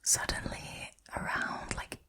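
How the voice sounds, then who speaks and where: A woman speaking in a breathy whisper, telling short stories and chatting casually about them in a relaxed ASMR style. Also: slow. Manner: informal, chatty, amused. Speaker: host reading and reacting to short stories.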